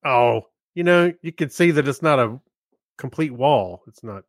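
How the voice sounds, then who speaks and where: A man's voice: mid-range voice, animated, normal speed, no room sound. Laid-out casual male podcast chat, very dry sound. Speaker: podcast co-host.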